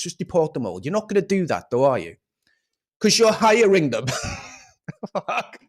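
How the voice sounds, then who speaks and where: A man giving a scornful, swearing rant of political commentary. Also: on the high side.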